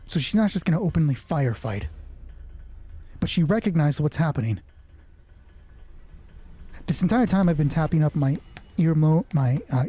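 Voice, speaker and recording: A man with a slightly high, husky and mellow voice plays a solo tabletop game aloud. He narrates casually and swears freely while reasoning through each ruling, with music playing underneath. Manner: foul-mouthed, talks to himself through rulings. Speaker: solo roleplay player and narrator.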